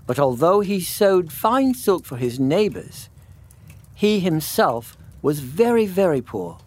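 A man narrating a classic children's story in measured phrases with dramatic pauses. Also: high-pitched, a little mellow.

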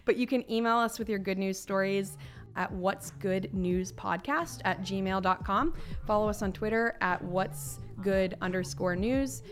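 A woman's voice: medium pitch, unhurried, soft background music. Chatty young woman co-hosting a podcast. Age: young adult. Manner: chatty, emphatic, youthful slang. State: recovering from flu, voice lower than usual. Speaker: podcast co-host. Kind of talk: casual chat podcast.

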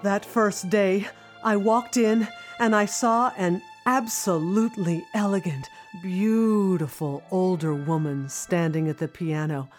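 A woman narrating a scripted first-person audio drama in an expressive, reflective storytelling manner. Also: medium pitch, slow, faint music behind. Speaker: narrator, singing student.

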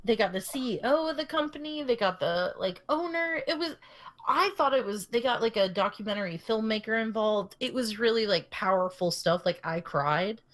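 A woman's voice: a little high, animated, moderate pace. A young woman co-hosting a podcast, casually telling a long story full of fillers and restarts, often laughing. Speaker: podcast co-host. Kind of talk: casual chat.

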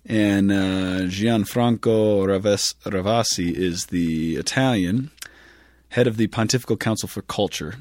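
A man's voice: lowish voice, a little slow, a little mellow. A man in his thirties co-hosting a podcast in casual chat, joking and laughing, stumbling over foreign names. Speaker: podcast co-host.

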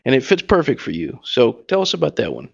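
Gravelly radio host asking rambling questions. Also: male voice, medium pitch, wildly swooping pitch, brisk, lo-fi sound.

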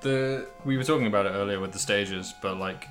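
Low-pitched man, bright voice, chatting casually. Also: brisk, soft background music. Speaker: podcast co-host. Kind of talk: casual chat about a video game.

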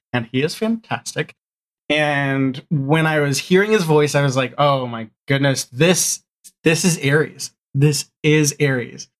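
A man chatting casually as an interview guest, telling stories in an animated way and acting out bits of conversation. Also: on the high side. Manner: animated storyteller, acts out quoted exchanges. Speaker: guest, audio drama showrunner.

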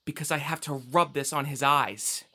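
A woman's voice: low-pitched, normal speed, bright, forceful tone. A woman playing an earnest, faithful young married son in an audio drama. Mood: calm, focused.

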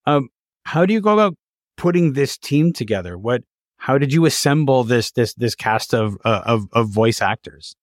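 A man hosting a casual interview, feeling his way haltingly into each question. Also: mid-range voice, animated, no echo, studio quality. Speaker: interviewer, host.

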